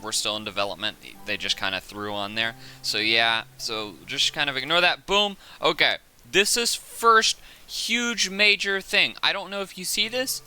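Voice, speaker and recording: Bright, clear-voiced man commentating on game footage. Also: medium pitch. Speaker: video host breaking down game footage. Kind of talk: casual unscripted commentary.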